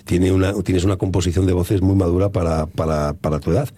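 A male radio host asking long, measured, winding questions in an interview. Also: low voice, little pitch movement, warm tone, breathy edge, no room sound.

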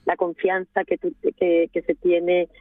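A woman's voice: lowish voice, completely flat delivery, slow, dry recording. A woman from Asturias, speaking as a guest in a radio interview by phone, hesitant, with many restarts. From Asturias, Spain. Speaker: guest, NGO regional delegate. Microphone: telephone. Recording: tinny phone line.

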